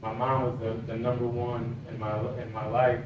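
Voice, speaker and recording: A man's voice: medium pitch, monotone, normal speed, roomy sound, distant mic, muffled recording, background noise. A Cuban-born man in his forties hosting a casual podcast interview, encouraging and repeating words as he talks. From Cuba. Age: 40s. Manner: encouraging, repeats words while searching for them. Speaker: podcast host.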